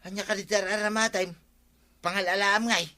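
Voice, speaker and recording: An actor playing an excitable, insistent husband in a regional radio comedy drama, with music behind him. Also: male voice, high voice, a little fast. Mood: curious, coaxing. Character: excitable husband eager to buy a tricycle.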